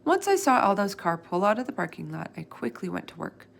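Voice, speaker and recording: A woman reading a children's storybook aloud in an expressive, animated way, acting out the dialogue. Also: mid-range voice, fairly quick, creaky. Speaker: storybook reader.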